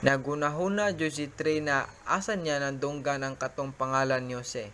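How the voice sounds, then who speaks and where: A man narrates a student radio drama in a plain, steady storytelling voice. His pitch is mid-range, with a faint hiss behind it. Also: normal speed.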